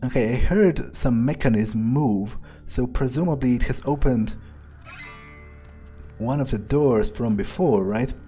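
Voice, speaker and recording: A man's voice: medium pitch, measured pace, mellow, soft background music. A man doing in-character video game commentary, thinking aloud in a hesitant, musing, sardonic way with casual swearing. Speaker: gaming video creator playing in character.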